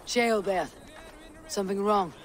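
An actor playing a wry, confident Viking raider in a video game. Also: male voice, high voice, unhurried.

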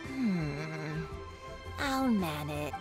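A woman voicing a kindly elderly granny in a video game, gentle and unhurried. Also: low-pitched, expressive, heavily acted. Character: kindly elderly granny who crafts kites. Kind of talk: video game character acting. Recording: loud game music behind the voice.

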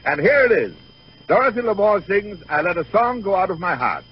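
A man's voice: sits high, big pitch swings, fairly quick. An American man hosting and announcing in the style of 1930s radio. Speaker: show host and announcer. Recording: muffled, faintly noisy 1930s radio broadcast.

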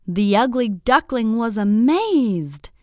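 A woman narrating a scripted bedtime story for young children in an expressive, deliberate, clearly phrased way. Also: on the high side, no room sound, clean studio sound.

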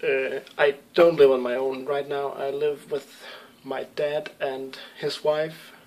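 A man's voice: mid-range voice, flat delivery, slow, a little mellow. A young man from Denmark with a Danish accent, English not his first language, answering viewer questions in a casual webcam vlog from a room at home, hesitant with many ums and restarts. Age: young adult. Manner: hesitant, lots of ums and self-corrections. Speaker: YouTube gamer. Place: small room at home, indoors.

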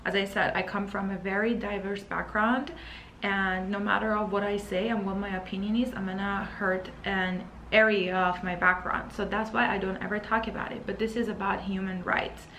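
A woman's voice: mid-range voice, little pitch movement, moderate pace, a bit bright. A woman in her thirties who grew up in Iran, speaking to camera as a lifestyle vlogger in an earnest, hesitant, emotional way. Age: 30s. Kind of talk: earnest personal address to camera. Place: indoors, living and dining room at home. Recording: roomy echo, faint background noise.

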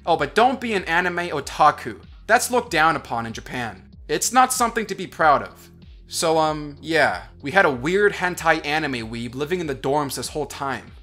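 A young man in college giving sarcastic, deadpan commentary as a voice-over. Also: fairly high pitch. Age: young adult. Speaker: commentary vlogger. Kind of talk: sardonic scripted commentary over animation.

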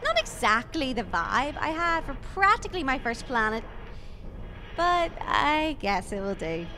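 A woman's voice: high-pitched, big pitch swings, unhurried, sharp tone, slight background noise, full character voice. A woman playing a frank, plucky time-travel companion in an audio drama, speaking in a blunt, informal way. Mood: determined. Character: the Doctor's frank, plucky female companion from Earth.

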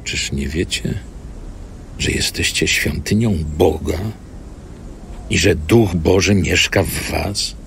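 An actor playing a solemn apostle exhorting his congregation in a scripture audio drama, gravelly and deliberate. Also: sits low, fairly bright tone, a little hiss or hum, full character voice. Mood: grave exhortation.

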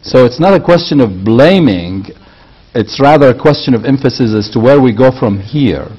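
An older man interviewing a guest in a TV studio, asking measured questions and restating the guest's points back to him. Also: mid-range voice, animated, breathy edge. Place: small TV studio with curtains and armchairs. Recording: dull lo-fi broadcast sound.

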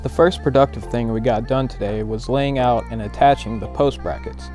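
A man's voice: mid-range voice, steady tone, normal speed, darkish tone. A man in his thirties giving casual, wry, laid-back vlog narration about his own work. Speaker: builder and video host. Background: music.

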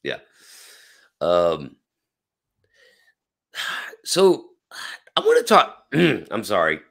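A man hosting an interview over a video call from home, animated and rambling with many fillers, his voice hoarse and cracking. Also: low-pitched, very slow. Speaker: host. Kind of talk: casual interview chat. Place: home room. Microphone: webcam on a video call.

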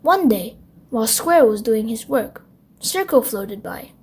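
Slow, high-voiced woman storyteller. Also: lively intonation, darkish tone, husky. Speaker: children's storybook narrator. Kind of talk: scripted bedtime story read-aloud.

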